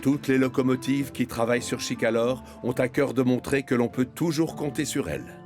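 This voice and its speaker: A man narrating a children's cartoon in French, in an expressive storytelling manner. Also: mid-range voice, normal speed. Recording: music bed behind the voice.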